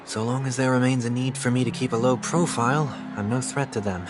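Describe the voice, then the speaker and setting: A dignified winged man who once led the elite, voiced by an actor, who speaks in a formal, measured, solemn way. The voice has a middle pitch. It sits in a video game mix, with loud music swelling behind it. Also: steady tone, lightly acted. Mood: composed. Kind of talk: game voice acting.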